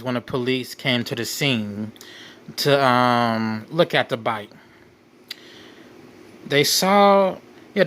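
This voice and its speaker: A man hosting a crime commentary, reading out case details in a halting way and often repeating his phrases. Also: mid-range voice, pushed and bright. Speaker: show host.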